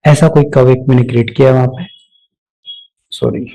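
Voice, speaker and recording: A man giving a step-by-step software tutorial off the cuff, mixing English technical terms into his speech. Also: medium pitch, steady tone, brisk, gravelly, poor audio. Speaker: software instructor.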